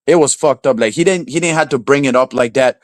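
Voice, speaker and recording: A man's voice: medium pitch, fast, no room sound, clean studio sound. A man with a Crucian accent from St. Croix, ranting heatedly on a livestream, profane and taunting. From St. Croix, US Virgin Islands. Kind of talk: angry livestream rant. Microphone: streaming setup.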